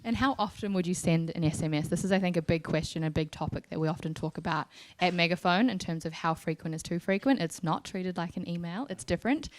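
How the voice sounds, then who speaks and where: A woman's voice: on the low side, quick pace, few pauses. A woman moderating a panel discussion in a conversational way, as its host. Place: live event in front of an audience.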